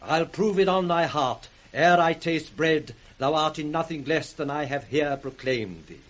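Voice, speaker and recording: An authoritative duke in a classic radio tragedy. The voice sits a little high, and he declaims formally and firmly, stern and righteous. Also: lively intonation, a little slow, slight background noise. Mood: stern, accusing. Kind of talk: 1960s radio Shakespeare acting.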